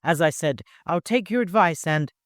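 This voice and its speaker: A man voicing a hurried, no-nonsense master organizing a caravan in an audiobook, courteous but commanding. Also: a little high, expressive, fairly bright tone, no room sound, studio quality, voice pushed up. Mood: businesslike.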